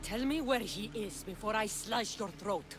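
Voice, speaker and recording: A woman's voice: mid-range voice, normal speed, background noise, natural voice. A woman playing a blunt, protective mercenary heroine in a dramatic video game cutscene. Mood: defiant. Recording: music under the dialogue. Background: dramatic game music.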